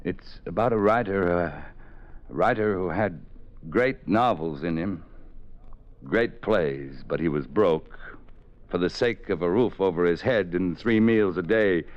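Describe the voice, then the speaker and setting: A man's voice: on the low side, close to an everyday voice. A man playing a sinister writer who toys with a frightened woman at gunpoint, in a 1940s radio drama, on a dull old lo-fi recording. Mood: brooding. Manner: sinister, toying, swings from soft to sudden shouts. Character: menacing male writer holding a woman at gunpoint.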